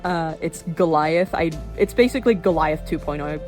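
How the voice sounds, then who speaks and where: A woman of twenty-one chatting casually in a rambling way while showing her sketchbook, with fillers and quick laughs. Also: low voice. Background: soft background music.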